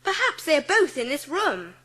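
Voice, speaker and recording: Composed, kind little schoolgirl, played by a woman, high bright voice, earnest and polite, storybook drama. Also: sing-song, normal speed, heavily acted. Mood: tender, dreamy. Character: kind, composed, imaginative seven-year-old schoolgirl. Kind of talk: dramatised audiobook acting.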